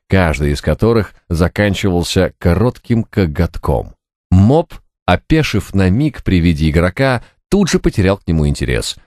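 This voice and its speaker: A man narrating a fantasy novel as an audiobook, with an expressive storytelling delivery. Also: low voice, no room sound, clean studio sound. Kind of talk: scripted fiction narration. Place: dry recording studio.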